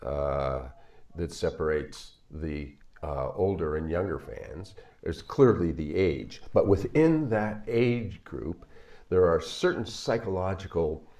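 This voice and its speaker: An older man, a psychologist, talking to the camera from a home office in a measured, halting way with frequent fillers. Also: sits low, a little mellow. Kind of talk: talking to camera in a video commentary.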